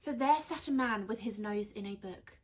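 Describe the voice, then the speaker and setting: A young woman reads a rhyming children's storybook aloud in an expressive, teacherly way. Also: medium pitch, moderate pace. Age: young adult. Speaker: primary school teacher reading aloud. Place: small indoor room on a sofa.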